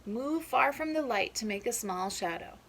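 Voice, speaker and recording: A woman's voice: fairly high pitch, lively intonation, moderate pace, creaky, a little hiss or hum. A woman teacher reading a children's storybook aloud, instructive, with explanatory asides that check in with young listeners.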